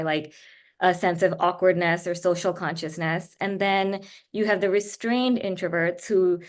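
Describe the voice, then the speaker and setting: A woman guest speaker from Japan with a slightly low voice. She explains her points in a measured, thoughtful way during an online interview. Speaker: guest speaker, writer and editor. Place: indoors on a video call.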